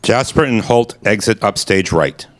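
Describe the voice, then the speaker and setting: A man with a low, deep voice reads out the stage directions for a radio play. He keeps it plain and matter-of-fact, with no dramatic flourish. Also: animated, a little slow. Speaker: narrator reading stage directions.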